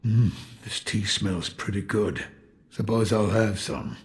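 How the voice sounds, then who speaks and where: An actor playing a gruff, weary old retired shinobi in a video game, with a gravelly voice. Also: male voice, fairly low pitch, slow pace. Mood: reflective. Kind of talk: dramatic video game dialogue.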